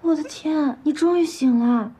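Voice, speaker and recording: An actress playing an anxious young friend in a TV drama, fussing and exclaiming. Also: female voice, sits high, moderate pace, warm tone, natural voice. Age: young adult. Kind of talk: TV drama acting.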